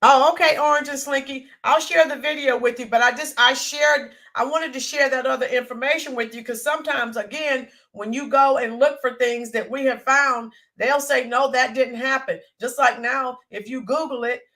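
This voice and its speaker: A middle-aged woman hosting a casual livestream, her voice raspy from allergies, rambling and greeting viewers by name as she talks. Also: sits high, steady tone, moderate pace, hardly any pauses, pushed and bright. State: allergy-roughened voice. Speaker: livestream host.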